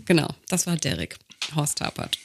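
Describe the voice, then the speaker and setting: A man's voice: fairly high pitch, very animated, moderate pace, gravelly, slight background noise. A man chatting casually as a podcast interviewer.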